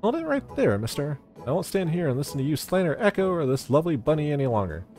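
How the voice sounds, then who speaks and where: Man putting on a high, earnest young woman. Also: very animated, quick pace, darkish tone, faint music behind. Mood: indignant.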